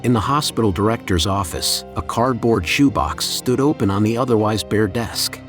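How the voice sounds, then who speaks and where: Guy with a lowish voice reading a creepypasta, measured storytelling, music playing behind him. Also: lively intonation. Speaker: horror story narrator. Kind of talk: scripted first-person creepypasta narration. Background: background music.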